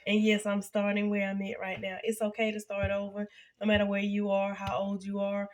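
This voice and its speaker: A woman in her fifties, sounding congested and a little nasal, chatting casually to her viewers in a halting way with many fillers and restarts. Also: medium pitch, monotone. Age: 50s. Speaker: vlogger. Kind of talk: casual reflective talk to camera.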